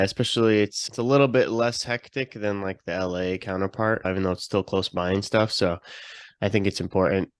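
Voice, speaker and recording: A man's voice: on the low side, clear, resonant tone, no echo. A young adult man hosting a podcast, talking and asking questions in a casual, conversational style. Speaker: podcast host.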